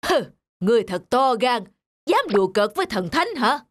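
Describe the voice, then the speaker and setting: An actor voicing a sly, greedy village sorcerer in an animated folk tale, making grandiose pronouncements in a pompous drawl. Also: male voice, extremely high pitch, moderate pace, dry recording, studio quality. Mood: indignant.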